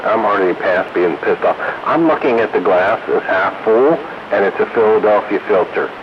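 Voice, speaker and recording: Hissy muffled CB radio, American man, deep voice, casual chat. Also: normal speed. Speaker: radio hobbyist in a group chat. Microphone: two-way radio, recorded from a receiver stream.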